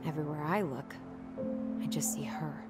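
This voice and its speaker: Casting: actress for a wry, no-nonsense American in her youth, lower-register, understated natural game performance over music. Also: moderate pace, close to an everyday voice. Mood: guarded, somber. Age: young adult. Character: guarded, practical young woman with dry wit. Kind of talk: naturalistic video game acting. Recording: game cutscene with background music.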